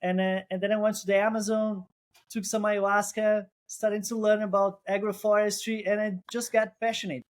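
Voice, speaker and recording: A man's voice: high-pitched, little pitch movement, moderate pace. Brazilian-accented man, thoughtful guest. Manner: thoughtful, restarts phrases, non-native phrasing. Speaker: guest, co-founder. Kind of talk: casual interview.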